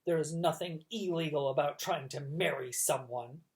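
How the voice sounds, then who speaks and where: A woman voicing a scheming, menacing villainous man, with loud, drawn-out, theatrical threats. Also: sits low. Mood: insistent.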